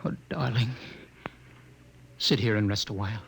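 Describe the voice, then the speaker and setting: An American actor playing a young husband on the run, awed, tender and anxious, in an earnest, dramatic 1950s radio play style. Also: male voice, fairly low pitch, normal speed, gravelly, a bit bright. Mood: tender, hushed. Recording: noisy 1950 radio transcription.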